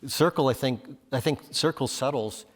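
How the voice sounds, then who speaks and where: A man's voice: medium pitch, normal speed. A man moderating a conference panel, talking conversationally and posing rhetorical questions. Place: conference hall. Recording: hall reverb.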